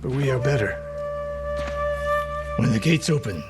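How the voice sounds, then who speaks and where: An actor playing a stern, proud older samurai archery master in a video game, speaking in a measured, haughty, sardonic way. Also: male voice, mid-range voice, animated, close to an everyday voice. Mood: calm, commanding. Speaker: archery teacher. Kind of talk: dramatic video game acting. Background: background music.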